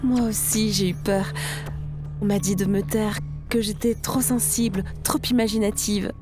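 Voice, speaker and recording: A woman's voice: medium pitch, fairly even intonation, a little slow, over music, lightly acted. A woman playing a shy, sensitive young clairvoyant who confides in an audio drama. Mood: vulnerable confiding.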